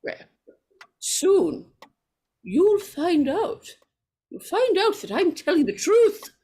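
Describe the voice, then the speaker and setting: A woman's voice: extremely high pitch, very animated, slow, warm tone, full character voice. A woman playing a nervous, superstitious, insistent lady in an old-time radio horror play, stammering and repeating herself. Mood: urgent, foreboding.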